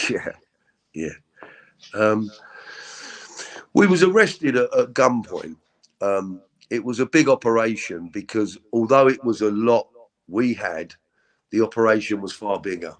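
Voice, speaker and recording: A middle-aged man with a deep voice, telling his story as an interview guest in a halting, candid way with many repeats. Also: animated. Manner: halting, repeats words, frequent ums.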